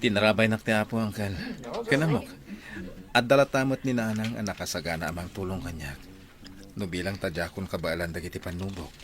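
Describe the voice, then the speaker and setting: A man's voice: low voice, steady tone, brisk, crowd noise, lightly acted. A man with an Ilocano accent acting a devoted, earnest grown son in a radio drama.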